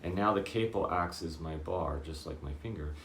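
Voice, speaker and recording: A man with a very low voice giving a casual guitar lesson, checking in often with "okay?" and "does that make sense?". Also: normal speed, fairly bright tone, reverberant, slight background noise. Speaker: guitar teacher.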